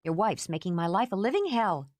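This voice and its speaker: Woman doing a sharp, furious young woman. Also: mid-range voice, very animated, a little fast, dry recording, clean studio sound, pitched above the performer's own voice, lightly acted. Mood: irritated. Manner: sharp, indignant, rising to shouts. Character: fierce, tightly wound young woman.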